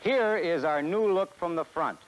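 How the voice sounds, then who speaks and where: A man's voice: a little high, a little slow, a little hiss or hum, poor audio. A man in his forties with an American accent giving a scripted sales presentation in a 1960s promotional film. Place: film studio beside a truck.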